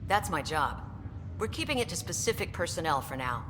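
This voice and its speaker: An actor playing a brisk, dry-humored space station staffer in a video game, speaking in a matter-of-fact, teasing way. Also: medium pitch, big pitch swings, natural voice. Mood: brisk, wry. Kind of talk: scripted video game dialogue. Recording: reverberant hall with ambient noise.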